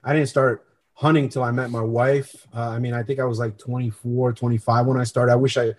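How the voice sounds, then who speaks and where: A man's voice: medium pitch, little pitch movement, brisk. A middle-aged man from Colombia who grew up in New Jersey, talking earnestly and conversationally as an interview guest about himself. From born in Colombia, grew up in New Jersey. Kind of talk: conversational personal storytelling.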